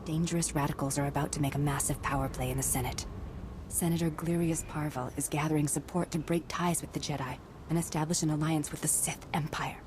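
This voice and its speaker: An actress as a low-voiced, earnest female conspirator. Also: steady tone, fairly quick, noisy background, close to an everyday voice. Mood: grave, urgent. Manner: persuasive, solemn, hushed at times. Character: earnest, secretive ex-military diplomat woman, zealous patriot. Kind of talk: video game voice acting.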